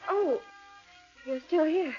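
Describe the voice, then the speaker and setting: Nervous young woman, 1940s radio melodrama, very animated pitch, muffled period recording. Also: takes it slowly, faint music behind. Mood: flustered. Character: anxious, fearful young woman visiting a sick friend. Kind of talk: 1940s radio drama acting. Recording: dull vintage radio recording.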